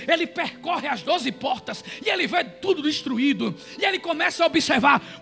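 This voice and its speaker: A man preaching a sermon, retelling scripture and shouting fervently. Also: extremely high pitch, vocal fry.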